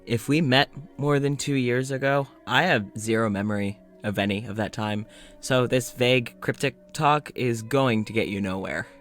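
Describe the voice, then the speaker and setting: A man playing a weary, frustrated doctor with memory loss in an audio drama, recording a spoken journal with halting, sighing delivery. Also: mid-range voice, steady tone, fairly bright tone, soft background music, lightly acted. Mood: guarded, curt. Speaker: voice actor playing podcast's lead character.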